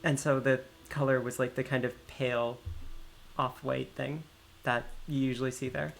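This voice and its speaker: A man's voice: mid-range voice, flat delivery, normal speed, creaky, low-quality recording. A man with an American accent chatting casually as he livestreams a game, rambling into explanatory asides. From United States, Maryland. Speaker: livestream host playing a video game. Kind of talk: casual chat while gaming.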